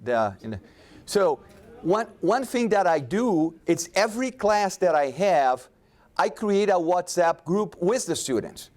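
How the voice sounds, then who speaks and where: A man's voice: sits high, sing-song, measured pace, a little mellow. Man presenting at a conference. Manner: conversational, many restarts and fillers. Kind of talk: conference panel talk.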